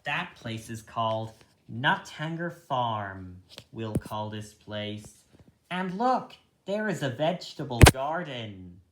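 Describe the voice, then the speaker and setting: Slow-paced male storyteller in an echoey room. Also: mid-range voice, big pitch swings, noisy background. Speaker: story reader. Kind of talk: children's storybook narration with asides.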